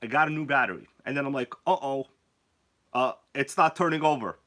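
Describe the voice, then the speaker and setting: A man's voice: mid-range voice, fairly quick, pushed and bright. A man hosting a casual live stream while sick with a cold and nearly losing his voice, joking around and greeting viewers by name.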